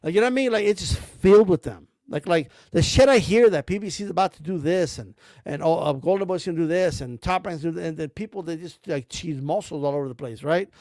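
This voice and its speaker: A man's voice: fairly high pitch, quick pace, hardly any pauses, a little mellow, dry recording. A man giving blunt, rambling commentary about boxing, full of slang and cursing. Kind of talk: casual ranting commentary.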